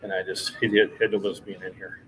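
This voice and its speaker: A man's voice: low-pitched, little pitch movement, fast talker, a bit bright, faint music behind, low-quality recording. A man in his sixties hosting a casual fitness livestream, chatting with viewers in a friendly, encouraging coach's way. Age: 60s.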